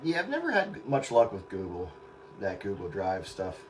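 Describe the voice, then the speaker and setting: A man's voice: sits low, huge pitch swings, normal speed, very noisy. A man hosting an informal online get-together, chatting casually and explaining things in an informal way. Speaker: host of an online radio round-up night. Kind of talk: casual chat.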